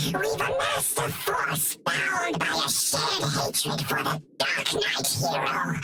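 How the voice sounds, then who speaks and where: Captured game villain, wild pitch swings, slow delivery, loud music behind. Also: male voice, heavily acted. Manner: pleading, cries out in pain. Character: captured cultist, sneering then begging. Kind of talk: video game voice acting.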